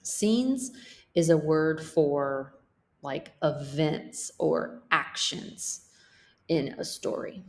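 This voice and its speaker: A woman's voice: deep voice, expressive, extremely slow. A woman teacher reading a picture book aloud as a lesson for children, explaining things simply and checking in with questions.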